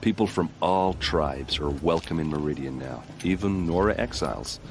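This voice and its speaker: A friendly video game warrior with a deep voice. He is plain-spoken and wry, proud of his people and given to folksy boasting. Faint ambient noise sits behind him. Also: normal speed. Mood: proud, warm. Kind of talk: game cutscene dialogue acting.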